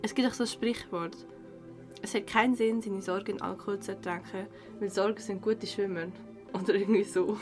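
A woman's voice: medium pitch, steady tone, fairly quick, close to an everyday voice. Woman playing a caring young Swiss friend, music behind. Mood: reassuring. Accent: Swiss German. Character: caring young woman looking after her drunk best friend. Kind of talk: radio drama acting.

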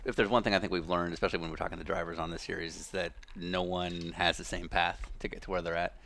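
A male podcast host asking questions in a quick, chatty way. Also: low voice, flat delivery, creaky, fairly bright tone, slight background noise. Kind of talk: casual podcast interview.

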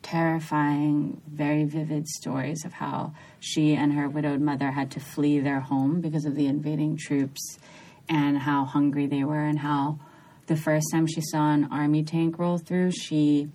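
A woman in her thirties from New York talks about her writing as a podcast guest. Her voice sits low. She speaks thoughtfully and often restarts her sentences. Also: little pitch movement, measured pace, mellow, low-quality recording. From New York, USA. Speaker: author guest. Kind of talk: podcast interview.

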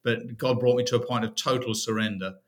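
A man's voice: mid-range voice, fairly even intonation, a little fast. A middle-aged British man from the UK, a podcast guest telling his faith story in a reflective way. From United Kingdom. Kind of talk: personal testimony in an interview.

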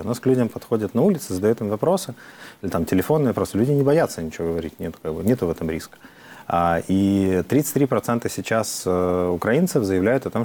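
A man talks quickly in a lowish, mellow voice. He explains his points earnestly in an interview and often stops to hesitate with fillers. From Ukraine. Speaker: member of parliament, guest. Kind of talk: interview answers.

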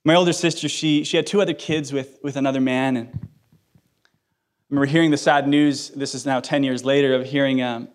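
A man's voice: medium pitch, fairly even intonation, moderate pace. A man in his thirties, a pastor, giving a sermon with personal stories, joking and self-deprecating, then earnest. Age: 30s.

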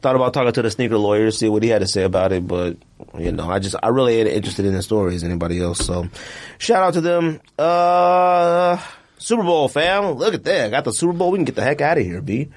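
A man's voice: mid-range voice, fast. An American man hosting a casual sports and sneaker podcast, speaking bluntly in slang and repeating himself for emphasis. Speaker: podcast host.

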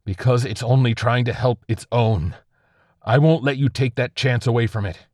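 A man's voice: lowish voice, measured pace, no echo, clean studio sound, voice pushed up, close to an everyday voice. A man voicing a defiant, wounded soldier who argues urgently and pleads with his comrades.

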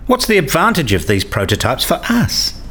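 A man's voice: fairly high pitch, wildly swooping pitch, moderate pace, a bit bright, slightly rough, full character voice. A man playing a curious, earnest father and hobby researcher in a radio play, with an inquisitive manner. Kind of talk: radio play dialogue and narration.

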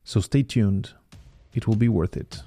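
A man's voice: fairly low pitch, measured pace, warm tone, faint music behind. A man hosting a podcast, reading a scripted intro and then chatting with his guest, stumbling a little when he speaks off the cuff. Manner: upbeat, stumbles when ad-libbing. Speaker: podcast host. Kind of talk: scripted intro and casual interview.

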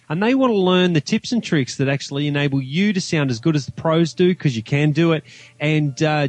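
A man's voice: fairly high pitch, no room sound. An Australian man talking casually about films as a podcast guest, with an emphatic, opinionated, slangy manner. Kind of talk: casual film discussion.

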